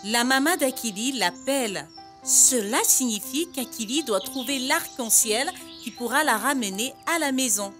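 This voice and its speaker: High-voiced woman narrating for preschoolers. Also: expressive, moderate pace, over music. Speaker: narrator of a children's educational cartoon. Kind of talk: scripted storytelling narration for preschoolers.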